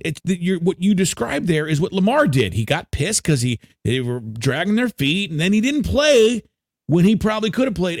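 American dude on a sports podcast, kinda higher voice, really up and down, loose slangy banter. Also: male voice, no room sound, studio quality. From Frederick, Maryland, United States. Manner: slangy, animated fan talk peppered with "man" and "dude".

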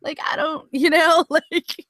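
A woman's voice: high voice, steady tone, takes it slowly, fairly bright tone, no echo. Giggly woman co-host saying "like" a lot. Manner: giggly, heavy use of "like", trailing off. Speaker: podcast co-host. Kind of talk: casual podcast chat.

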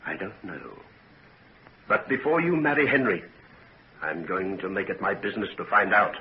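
An actor playing a grave, protective middle-aged father in a 1940s radio drama, speaking with solemn, deliberate earnestness. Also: deep voice, animated. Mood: stern. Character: grave, suspicious, protective father of a grown daughter. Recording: dull, noisy 1940s radio recording.

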